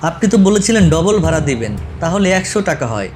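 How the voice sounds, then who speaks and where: A man's voice: fairly high pitch, very animated, fairly quick, over music, voice pushed up. A man playing a blunt, easily frightened auto-rickshaw driver in a cartoon ghost story. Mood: insistent.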